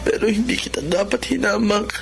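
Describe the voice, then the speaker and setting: A remorseful young man speaks from a hospital bed in a Filipino radio drama. His voice is high and creaky, halting and apologetic, with music underneath. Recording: music behind the voice.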